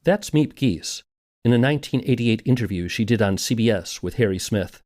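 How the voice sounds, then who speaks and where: A man's voice: mid-range voice, animated, normal speed, a little mellow, dry recording, studio quality. A man hosting a documentary podcast and reading scripted narration. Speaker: podcast host.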